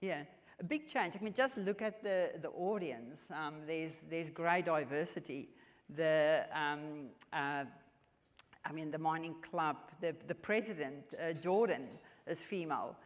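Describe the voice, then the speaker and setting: A woman in her fifties from South Africa, with a South African accent and Afrikaans as her first language, answering interview questions in an earnest, measured way with frequent restarts. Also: deep voice. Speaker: mining executive guest. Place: large function hall. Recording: long hall reverb.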